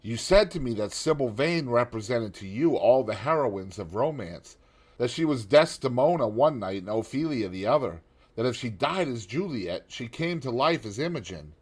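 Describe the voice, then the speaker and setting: A man voicing a worldly, cynical aristocratic gentleman in an audiobook, with a drawling, epigrammatic manner. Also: medium pitch, animated, normal speed, husky. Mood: languid. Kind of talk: audiobook character reading.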